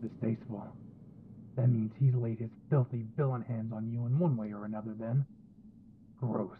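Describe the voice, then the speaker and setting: A man's voice: medium pitch, steady tone, moderate pace, phone-quality sound, noisy background, close to an everyday voice. A man voicing a furious, foul-mouthed young male hero in an anime fan audio drama, aggressive and ruthlessly protective. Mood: menacing, disgusted. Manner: aggressive, profane, defiant.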